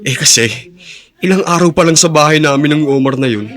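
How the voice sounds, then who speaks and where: A man's voice: a little high, brisk, fairly bright tone, natural voice. An actor playing a resentful, jealous young man who is easily irritated, in a radio drama. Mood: sullen, jealous. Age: young adult.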